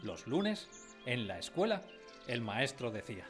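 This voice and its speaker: A man's voice: mid-range voice, sing-song, a little slow. An actor narrating a radio drama as a man recalling his village childhood, wistfully, in a literary style. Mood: nostalgic. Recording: music behind the voice.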